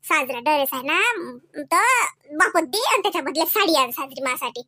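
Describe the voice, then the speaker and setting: A bashful, fussing cartoon village mother, extremely high-pitched and swinging widely in tone. She has a Varhadi Marathi accent and scolds coyly, full of exclamations. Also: expressive, moderate pace, bright, forceful tone, dry recording, studio quality. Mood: reluctant, embarrassed. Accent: Varhadi Marathi from Vidarbha. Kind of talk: comedy cartoon dialogue.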